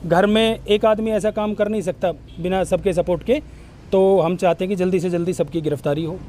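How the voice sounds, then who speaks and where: High-voiced man in interview. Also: steady tone, fairly quick, warm tone, slight background noise. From Haryana, India. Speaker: villager interviewed by local news. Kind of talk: on-camera news interview testimony.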